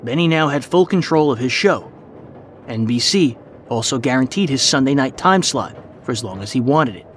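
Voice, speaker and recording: A man delivering scripted documentary narration with measured, formal phrasing. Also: mid-range voice, lively intonation, slight background noise. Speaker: podcast narrator.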